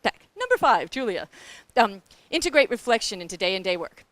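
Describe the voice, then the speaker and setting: A middle-aged woman from New Zealand with a New Zealand accent, speaking on a conference panel from a grantmaking foundation in a blunt, candid, animated way, with asides to the audience. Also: mid-range voice, moderate pace, dry recording.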